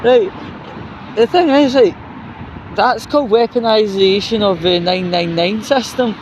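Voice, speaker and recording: A man's voice: high-pitched, a little slow. A British man giving a casual, rambling, sweary vlog rant to viewers in an indignant, sarcastic manner. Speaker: vlogger. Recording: dull lo-fi recording with loud outdoor traffic noise.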